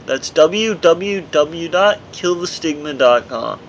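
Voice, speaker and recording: A man's voice: fairly high pitch, animated, takes it slowly, lo-fi sound, slight background noise. A man reading his own memoir aloud as scripted audiobook narration, in an earnest manner with occasional stumbles over words.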